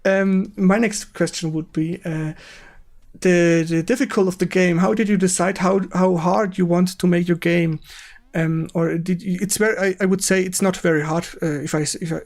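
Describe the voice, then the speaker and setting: A man with a German accent hosting a casual livestream interview, haltingly searching for his words in English as a non-native speaker. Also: high voice, vocal fry, a little mellow. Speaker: stream host interviewing a guest.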